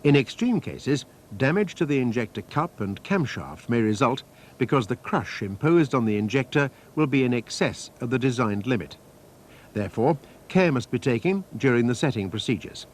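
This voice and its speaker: A man reading a scripted technical training film narration in a measured, precise, instructional manner. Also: mid-range voice, sing-song. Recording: noisy archive training-film soundtrack.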